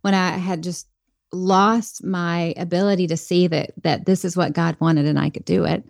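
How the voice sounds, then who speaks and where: A woman's voice: on the low side, steady tone, moderate pace, creaky, dry recording, clean studio sound. A woman guest on a podcast interview, talking earnestly and reflectively about faith and leadership. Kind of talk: conversational interview.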